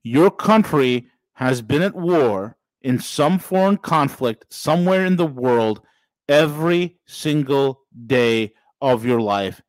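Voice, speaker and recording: A man's voice: medium pitch, lively intonation, slow, dry recording, rough recording. A man in his forties hosting a casual political podcast, speaking straight to listeners with preachy, rising emphasis. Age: 40s. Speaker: podcast host.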